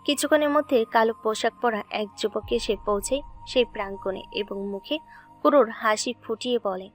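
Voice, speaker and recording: A woman's voice: fairly high pitch, moderate pace, faint music behind. A woman reading scripted story narration as the narrator of an audio drama.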